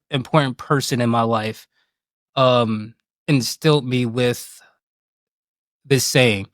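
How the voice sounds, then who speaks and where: A man's voice: mid-range voice, fairly bright tone, no room sound. Candid man chatting, close dry sound. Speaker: guest, game streamer. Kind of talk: casual interview conversation.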